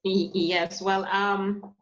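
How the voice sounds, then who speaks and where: A woman from Jamaica, a musician in her thirties, speaking softly and hesitantly with many fillers in a casual interview. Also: mid-range voice, little pitch movement, very slow. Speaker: musician, guest. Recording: lo-fi muffled audio.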